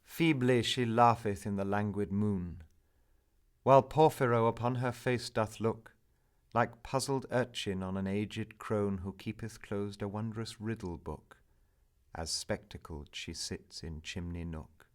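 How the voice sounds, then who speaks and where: A man narrating a poem in a theatrical, measured manner, swelling for emphasis and hushing at line endings. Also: lowish voice. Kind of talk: dramatic narrative poetry recital.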